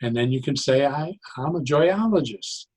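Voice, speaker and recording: A man speaking as an interview guest, explaining his ideas conversationally in a deliberate, halting way and repeating key phrases. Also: medium pitch, animated, darkish tone, breathy edge. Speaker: interview guest, psychologist and joyologist.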